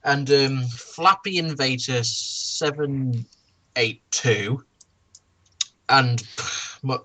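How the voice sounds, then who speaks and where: Mid-register casual commentator, halting and repetitive, with a mocking edge. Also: mid-range voice, sharp tone, rough recording. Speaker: online video commentator. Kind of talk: casual group critique chat.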